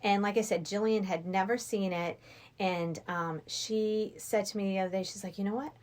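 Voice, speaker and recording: A woman's voice: mid-range voice, fairly even intonation, moderate pace. A middle-aged woman chatting casually as a podcast guest, telling anecdotes and voicing what people said. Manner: anecdotal, acts out quoted dialogue. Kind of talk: casual film discussion.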